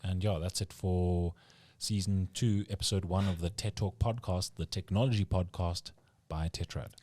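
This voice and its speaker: A man hosting a podcast, asking relaxed, friendly questions in casual chat. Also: sits low, normal speed, no echo. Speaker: podcast host.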